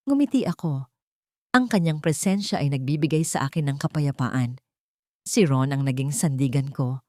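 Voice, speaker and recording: A woman's voice: sits low, dark tone, no room sound, clean studio sound. A woman reading a first-person story as scripted audiobook narration, in an earnest, dramatic storytelling manner.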